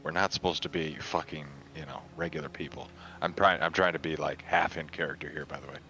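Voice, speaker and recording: A man's voice: a bass voice, steady tone, fast talker, sharp tone, slight background noise, low-quality recording. A man playing a tabletop roleplaying game, speaking half in character in a blunt, sardonic, casual way.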